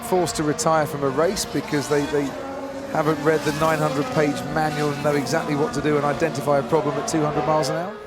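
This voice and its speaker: A man commentating a motor race live, arguing his point with animated asides. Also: a little high, quick pace, over loud music.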